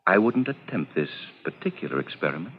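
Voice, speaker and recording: An actor playing a suave, sinister, jealous scientist in a 1940s radio drama, with a silky, insinuating, theatrical delivery. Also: male voice, low voice, sing-song, fairly quick. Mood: sly, insinuating. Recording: muffled, slightly noisy old radio recording.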